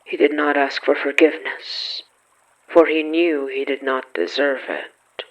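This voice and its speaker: Male storyteller for horror fiction: slightly high voice, unhurried and solemn, pausing for effect, on a slightly muffled recording. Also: fairly even intonation. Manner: solemn, measured, with dramatic pauses. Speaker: narrator of a horror fiction podcast. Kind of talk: scripted literary narration.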